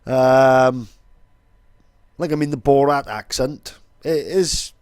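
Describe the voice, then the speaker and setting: A young Welsh-accented man explains casually, rambling and swearing, with plenty of fillers. His voice sits at a middling pitch. The recording is dry and close, with no room echo. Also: takes it slowly, a little mellow, clear, resonant tone. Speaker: vlogger.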